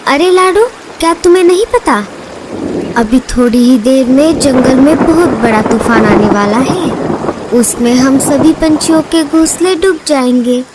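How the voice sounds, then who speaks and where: Woman voicing an urgent high-pitched cartoon pigeon. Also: a little slow, very noisy. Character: urgent, bossy female pigeon friend giving warnings. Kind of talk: Hindi children's cartoon dubbing.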